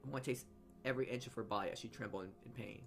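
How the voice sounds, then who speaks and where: A man's voice: medium pitch, very fast. A man reading a visual novel's narration aloud as a let's player, often stumbling over words and correcting himself, with asides of his own. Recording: game music behind the voice. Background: game music.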